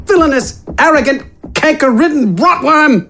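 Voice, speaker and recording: Cantankerous crossbowman, raised high voice, furious yelling, florid, fantasy audiobook over music. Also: animated, normal speed. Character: angry pipe-smoking crossbowman, cantankerous, yelling florid insults. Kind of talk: audiobook character voice.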